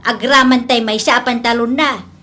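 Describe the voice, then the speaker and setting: A woman's voice: fairly high pitch, fairly even intonation, normal speed, pushed and bright. A woman playing a fussy, exasperated village mother in an Ilocano comedy drama, with an Ilocano Filipino accent. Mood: surprised, indignant. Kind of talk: Ilocano comedy radio-style drama acting.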